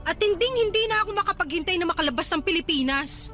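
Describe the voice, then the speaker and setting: A vain young resort worker in a Filipino radio drama. Her Filipino-accented voice is very high and sharp, with theatrical, indignant delivery over faint music. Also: animated, quick pace, exaggerated voice. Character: vain, ambitious young resort worker weary of family burdens.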